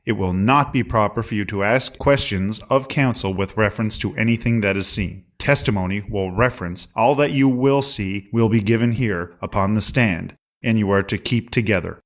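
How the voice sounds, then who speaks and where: Male actor as presiding judge, low pitch, formal, authoritative, stern, period courtroom drama. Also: moderate pace, few pauses, lightly acted. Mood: grave, instructing. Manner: formal, instructing.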